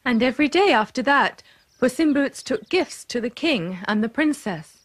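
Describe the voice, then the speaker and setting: High-voiced woman narrating fairy tales. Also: animated, normal speed. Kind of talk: scripted children's fairy-tale narration.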